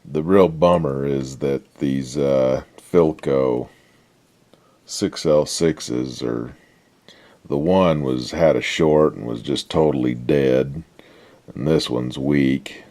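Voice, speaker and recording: A man giving a casual, unscripted workbench demonstration in a laid-back, folksy, halting way, often trailing off. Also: rumbling low, darkish tone. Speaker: radio restoration hobbyist presenting a repair update.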